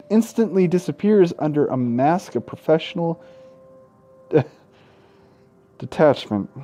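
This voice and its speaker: Guy reading a visual novel out loud on his gaming vid, slowish, kinda high but mellow, casual n irreverent. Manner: irreverent, sweary heckling between read passages. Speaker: gaming video host.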